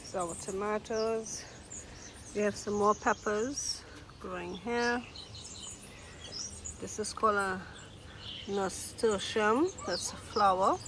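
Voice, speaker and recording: Woman vlogger, nasal from a cold, slow and easygoing; outdoor take with clear birdsong behind. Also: mid-range voice, fairly even intonation, noisy background. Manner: slow, unhurried, pointing out plants one by one. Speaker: gardening vlogger. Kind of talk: casual garden tour narration. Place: outdoor garden. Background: birds singing.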